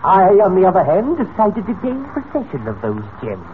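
Suave, swooping radio-serial villain. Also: male voice, high voice, huge pitch swings. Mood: menacing boasting. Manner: oily, condescending, theatrical, deliberate. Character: suave, megalomaniac male criminal mastermind, smug and menacing. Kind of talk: 1940s radio serial acting. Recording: tinny, noisy old radio recording.

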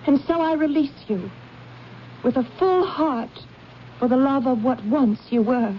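Sorrowful young sweetheart, high voice, dignified, earnest, formal, muffled old radio drama sound. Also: female voice, a little slow. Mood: tender resignation. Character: sorrowful, dignified young woman releasing her fiancé. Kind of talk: 1970s radio drama acting. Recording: dull radio broadcast with faint noise.

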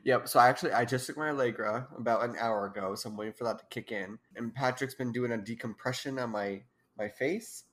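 A woman's voice: very deep voice, quick pace, few pauses. Congested young woman chatting. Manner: giggly, rambling. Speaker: podcast co-host. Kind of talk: casual chat.

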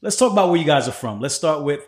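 A man hosting a podcast interview, talking in a relaxed, slangy way and laughing easily with his guests. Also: on the high side, quick pace. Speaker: podcast host. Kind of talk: casual interview chat.

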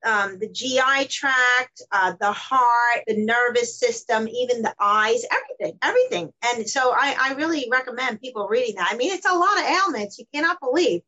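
A woman's voice: a little high, lively intonation, moderate pace, hardly any pauses, pushed and bright, highs cut off. A woman in her fifties, a colon hydrotherapist, talking casually in a rambling way with many fillers and restarts. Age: 50s. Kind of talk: casual interview talk.

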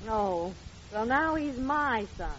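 An actress playing a patient, gently teasing, protective wife and mother in a 1940s radio comedy. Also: a little high, expressive, slow. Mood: wry. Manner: gentle, wry, chiding. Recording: muffled, noisy old radio recording.

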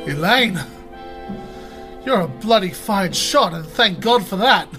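A man's voice: sits high, lively intonation, slow pace, over music. A man playing a decent, anguished working-class family man in an audio drama, earnest, with a British accent. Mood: tender urgency.

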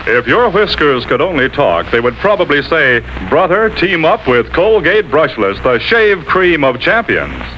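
A man with a fairly high, very lively voice, announcing in the manner of 1940s American radio advertising. He sells with polished, carefully placed stress. The sound is a dull, noisy old broadcast. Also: measured pace, few pauses.

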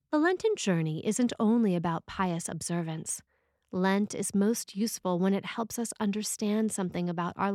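A woman reading a scripted sermon as a preacher, in a measured, reflective way. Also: medium pitch, darkish tone, dry recording, clean studio sound.

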